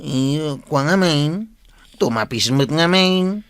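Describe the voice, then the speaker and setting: An actor with an Ilocano accent playing a nervous, hesitant nephew and sidekick in a comic radio drama. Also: male voice, a little high, animated, takes it slowly, fairly bright tone, smooth, no room sound, exaggerated voice. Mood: earnest. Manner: flustered, hesitant interjections.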